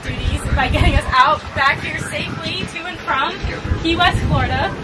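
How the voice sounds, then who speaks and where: A woman's voice: sits high, normal speed, loud music behind the voice. A young American woman presenting a casual vlog outdoors on a boat. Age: young adult. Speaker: fishing vlog host. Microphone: camera at arm's length. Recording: very noisy boat, engine and ambient chatter.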